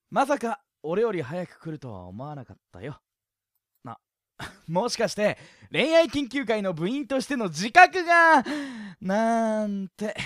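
A woman playing an easygoing, teasing high school boy in an audio drama, with a light, self-deprecating, joking way of talking. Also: fairly bright tone, no echo, clean studio sound. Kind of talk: anime-like Japanese audio drama acting.

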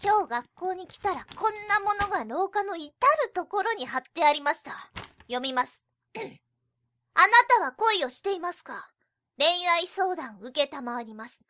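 A woman playing a prim high school girl, polite but blunt and scolding, in a youth comedy audio drama. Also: expressive, normal speed, sharp tone, dry recording, studio quality, full character voice. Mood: polite, pointed. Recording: light music behind.

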